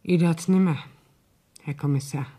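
A woman's voice: low voice, moderate pace, warm tone, slightly rough. An actress playing a worried, complaining Bavarian woman, a wary informant, in a German radio play. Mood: guarded, uneasy.

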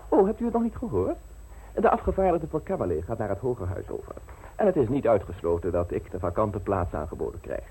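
An actor in a radio play voicing a suave, scheming gentleman with a polished, ironic, wordy manner. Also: on the low side, sing-song, quick pace, slight background noise, low-quality recording. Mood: teasing. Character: suave scheming gentleman political fixer, urbane and teasing.

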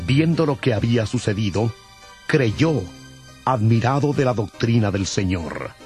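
A man reading scripted scripture narration in a solemn, measured way. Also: mid-range voice, darkish tone. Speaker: Bible narrator. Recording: music under the voice.

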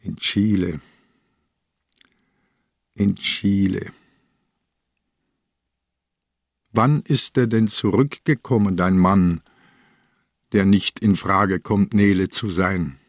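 Slow, low-voiced old inspector. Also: male voice, no room sound, clean studio sound, heavily acted. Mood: brooding, insistent. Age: older. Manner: slow, deliberate, insistent. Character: old, sick, stubborn male police inspector. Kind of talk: German radio crime drama acting.

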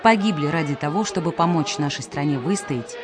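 Low-voiced woman narrator over music. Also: fairly quick. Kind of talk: scripted narration introducing a radio play.

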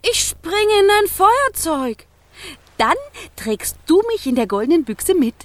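High, animated little flame girl. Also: a little slow, dry recording, full character voice. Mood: coaxing. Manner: exclamatory, coaxing, wide-eyed. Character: small eager living flame, female, bold and yearning. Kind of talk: children's radio play acting.

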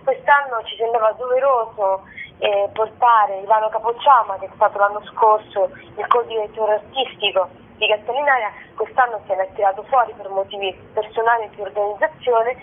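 A woman giving a spontaneous, enthusiastic radio interview as a festival co-director, wordy and halting, with repeated words. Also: mid-range voice. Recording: tinny, narrow telephone line on radio.

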